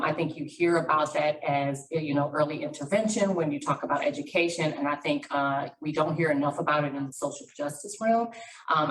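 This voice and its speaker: A middle-aged woman with a notably low voice talks loudly and with energy as a panelist, laughing easily. Her voice rings in a large reverberant room, picked up from a distance. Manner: loud, lively, quick to laugh. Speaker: panelist, school district manager. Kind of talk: panel discussion. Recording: roomy, distant mic, faint noise.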